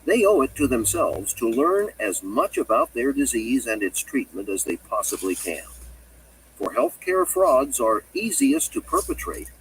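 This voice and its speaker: A man's voice: medium pitch, wildly swooping pitch, measured pace, slightly rough, distant mic, rough recording. Male audiobook narrator with swooping intonation. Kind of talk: scripted nonfiction audiobook narration.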